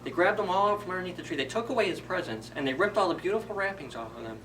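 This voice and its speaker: A man's voice: high-pitched, lively intonation, rapid-fire, fairly bright tone, recorded from a distance, a little hiss or hum, poor audio. A man retelling a story for children as a library storyteller, informally, in simple phrases with questions to the listeners.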